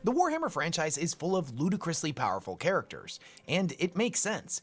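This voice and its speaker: A man giving scripted lore narration in a dramatic, measured way, his voice raspy as he gets over a cold. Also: fairly high pitch, wildly swooping pitch.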